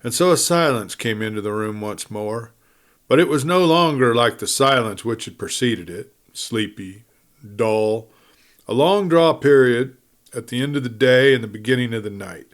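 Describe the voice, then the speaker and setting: A man narrating a western novel as an audiobook, in a measured, deliberate storytelling style with dramatic pauses. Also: fairly low pitch.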